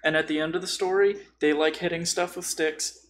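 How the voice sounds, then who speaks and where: A man's voice: fairly high pitch, fairly even intonation, fairly quick, slight background noise. A young man in casual podcast chat, joking, irreverent and freely swearing. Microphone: boom-arm microphone.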